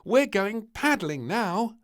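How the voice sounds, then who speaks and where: A man voicing an excitable, bossy little princess in a storybook read-aloud, with high squeals and bright exclamations. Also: takes it slowly, exaggerated voice. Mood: gleeful.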